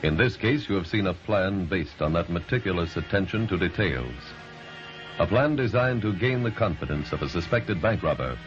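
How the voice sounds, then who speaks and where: An American man giving solemn, deliberate, authoritative narration for an old radio crime drama. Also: low-pitched, lively intonation. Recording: muffled old radio recording. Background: music.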